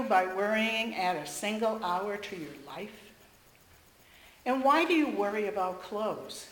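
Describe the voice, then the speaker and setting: Female lay reader, strained voice, measured scripture reading, echoey room. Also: medium pitch. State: strained voice after losing it earlier. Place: church sanctuary.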